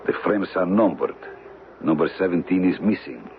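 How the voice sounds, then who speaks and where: An actor playing a menacing, cold-blooded villain in an old-time radio drama, speaking formally and deliberately with condescension. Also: male voice, deep voice, lively intonation. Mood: cold suspicion. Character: menacing male villain, a silky cold-blooded killer with umbrella. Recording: tinny, noisy old radio broadcast.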